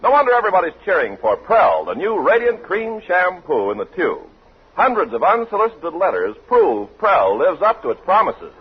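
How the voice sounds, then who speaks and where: A man's voice: sits high, very animated, moderate pace. A man working as a radio announcer, reading show credits and advertisements in a 1940s style. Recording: muffled, faintly noisy 1940s radio recording.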